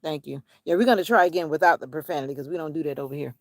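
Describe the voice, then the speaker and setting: A woman's voice: low-pitched, rapid-fire, no room sound, clean studio sound. A woman vlogging casually outdoors, musing in a rambling way to herself and her viewers. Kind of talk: casual outdoor vlog chat. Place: outdoors on a nature walk.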